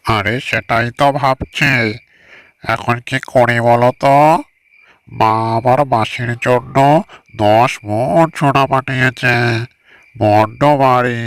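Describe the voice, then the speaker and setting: Man doing a sharp, forceful cartoon ogre. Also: medium pitch, steady tone, slow pace, pauses often, clean tone. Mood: worried. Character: gruff man-eating ogre husband, grateful yet menacing. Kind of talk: cartoon story voice acting.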